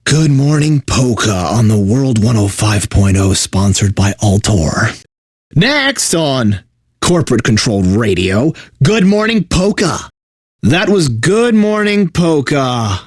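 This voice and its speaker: A man's voice: mid-range voice, big pitch swings, takes it slowly, breathy edge, no echo, clean studio sound, exaggerated voice. A man playing a bitter, burnt-out corporate radio DJ in a video game, mixing announcer patter with sarcastic, exasperated asides. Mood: forced radio cheer. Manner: exaggerated announcer delivery with sardonic, exasperated asides.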